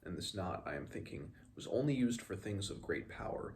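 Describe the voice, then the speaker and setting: A man's voice: low voice, sing-song, normal speed, darkish tone, slight background noise. A man reading the part of an earnest small mountain-folk apprentice sage in formal, oddly inverted phrasing. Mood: grave, thoughtful.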